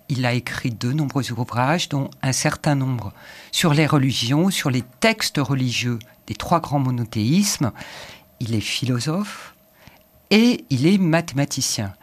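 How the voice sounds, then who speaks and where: A man hosting a radio interview, courteous, prompting his guest with quick summaries. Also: medium pitch. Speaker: radio host.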